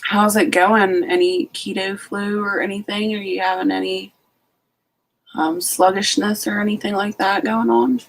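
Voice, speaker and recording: A woman's voice: medium pitch, level tone, measured pace, creaky, slight background noise, low-quality recording. A sleepy woman hosting a late-night casual live stream from home, greeting each viewer by name. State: sleepy, just out of bed. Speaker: homestead channel host.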